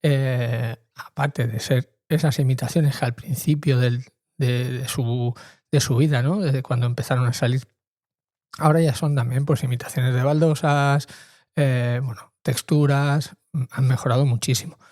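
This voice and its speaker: A man's voice: mid-range voice, fairly even intonation, normal speed, darkish tone, no room sound, clean studio sound. A middle-aged man hosting a podcast in an informal monologue, speaking hesitantly and repeating words for emphasis. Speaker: podcast host.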